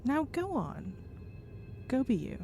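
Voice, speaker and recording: An actress playing a caring, teasing and gently bossy young wife in an audio drama. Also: female voice, high-pitched, sing-song, takes it slowly, gravelly, soft background music, heavily acted. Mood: affectionate, wry. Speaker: voice actor.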